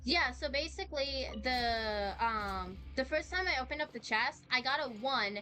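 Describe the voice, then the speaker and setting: A young woman chatting casually in a rambling, excitable, slangy way as she streams a game. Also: high-pitched, normal speed. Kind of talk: casual live-stream chat while playing. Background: game music.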